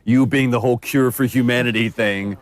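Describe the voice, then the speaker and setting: A man chatting casually as he gives commentary, with a low rumbling noise behind him. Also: fairly even intonation, fairly quick.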